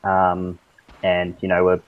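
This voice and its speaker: A man telling a loose, halting, sweary anecdote to friends in a casual voice chat. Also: low voice, monotone, phone-quality sound. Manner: loose, halting, repeats himself. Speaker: storyteller in a group voice chat. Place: online voice chat. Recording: noisy voice-chat mic with clicks.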